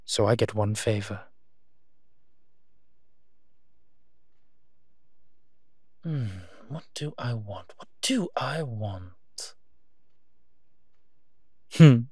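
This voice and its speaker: A gentle monster guardian played by a man talks slowly and softly in a close, dry recording. He is a protective, playfully teasing partner in an intimate audio roleplay, speaking straight to the listener at a mid-range male pitch. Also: husky, no room sound. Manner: soft-spoken, unhurried, playfully teasing.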